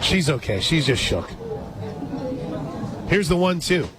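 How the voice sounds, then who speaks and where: A man calling a softball game live on the radio, mixing pitch-by-pitch play-by-play with conversational asides. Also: very animated, slow pace, very noisy. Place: stadium radio booth at an outdoor ballpark. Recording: loud crowd and music behind. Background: loud ballpark crowd and music.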